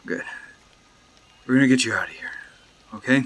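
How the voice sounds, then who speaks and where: An actor playing a calm, protective man who gently coaxes a child in a dramatized screenplay. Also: medium pitch, normal speed, slightly rough. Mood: calm, reassuring. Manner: gentle, reassuring.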